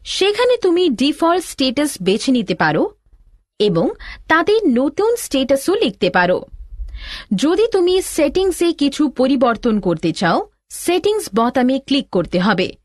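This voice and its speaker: Female tutorial narrator: high register, animated intonation, instructional delivery addressed to a learner. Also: a little slow, dry recording, clean studio sound. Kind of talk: scripted step-by-step tutorial narration.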